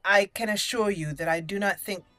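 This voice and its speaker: A woman's voice: medium pitch, fairly quick, bright, forceful tone, dry recording, faint music behind. A woman giving chatty roleplay game commentary, thinking aloud and slipping into formal in-character lines. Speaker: gaming channel host and player. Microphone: close, dry microphone.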